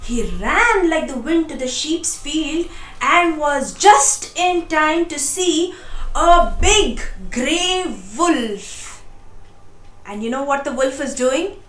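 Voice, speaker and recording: A woman narrating a children's storybook in a lively, expressive read-aloud style, addressing young listeners directly. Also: high-pitched, slow pace, roomy sound, low-quality recording.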